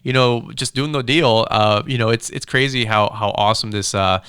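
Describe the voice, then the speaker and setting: A man with a crisp, bright voice talks quickly as a podcast host. His delivery is casual and upbeat, rambling along with bro-ish slang. The sound is close and dry, as in a studio. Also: mid-range voice, no room sound. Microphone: close studio microphone.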